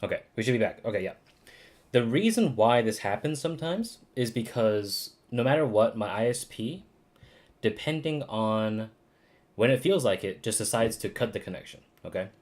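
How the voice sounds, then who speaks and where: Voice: mid-range voice, lively intonation, normal speed, darkish tone. A game streamer giving casual, informal, slangy live commentary and reading game text aloud.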